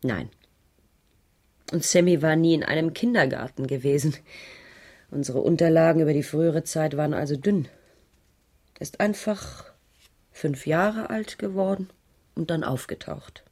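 An actress playing a troubled, evasive schoolteacher in a radio crime drama, hesitant and subdued, with a breathy voice that drops to near whispers. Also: female voice, low-pitched, normal speed, long pauses, a little mellow. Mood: weary, explanatory. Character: troubled, evasive female schoolteacher under police questioning. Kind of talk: 1980s German radio crime drama acting.

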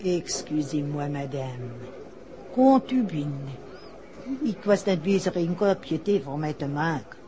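French fortune teller lady, slow and sly, french accent, old muffled radio play sound. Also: low voice, animated, a crowd in the background, background noise. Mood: coaxing, knowing. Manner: sprinkles French phrases, deliberate and knowing. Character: French fairground fortune-teller woman, coaxing and knowing. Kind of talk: 1960s Dutch radio drama acting. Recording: dull old radio recording.

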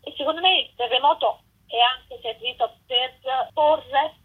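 A woman's voice: sits high, unhurried, slightly rough. Older woman on a phone line. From Campania, Italy. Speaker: interviewed photographer. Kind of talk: spontaneous radio interview. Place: remote phone call to a radio show. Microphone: telephone. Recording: narrow, tinny phone line.

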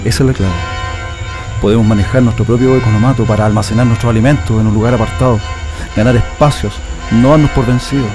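A man's voice: mid-range voice, fairly even intonation, quick pace, natural voice. An actor with a Chilean accent playing a defiant male political prisoner in a radio drama, earnest and emphatic. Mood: resolute, confiding. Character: defiant male political prisoner under a dictatorship, grieving and organizing resistance. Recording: music bed under the voice.

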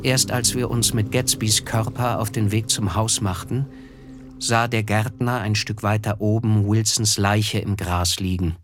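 An actor playing a reflective, melancholy narrator in a literary radio play, speaking in a measured, solemn way. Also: male voice, fairly low pitch, fairly even intonation, soft background music, lightly acted. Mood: sober, recollecting. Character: reflective, melancholy male narrator recalling a tragedy.

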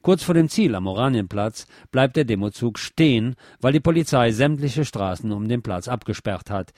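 A man's voice: mid-range voice, lively intonation, moderate pace, a little mellow, dry recording, studio quality. A man presenting a radio news segment, reading reports and press statements from a script.

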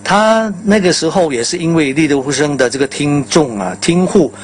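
A man's voice: on the high side, animated, moderate pace, slight background noise. An older man, a veteran radio drama performer, reminiscing in a casual interview with exclamations and repeated phrases.

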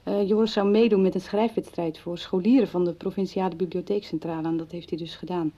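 A woman's voice: lowish voice, fairly even intonation, fast, warm tone, natural voice. An actress playing a kind, chatty, motherly woman in a radio drama. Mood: friendly, chatty. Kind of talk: 1990s radio drama acting.

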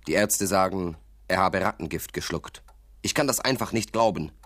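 A man's voice: sits low, normal speed, fairly bright tone, dry recording. An actor playing an earnest, worried young male boxer in radio play dialogue, speaking in a matter-of-fact way. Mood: earnest, insistent.

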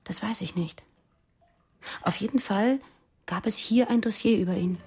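An actress playing an uneasy young militant woman confiding secrets in a radio drama, hushed, urgent and earnest. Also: mid-range voice, normal speed, slightly rough. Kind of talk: German radio drama dialogue.

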